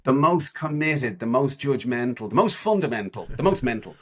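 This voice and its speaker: A man's voice: medium pitch, very animated, moderate pace, a bit bright. A man in his forties, a guest on a podcast, chatting casually in a rambling way with many restarts and fillers. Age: 40s. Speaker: guest, charity walker.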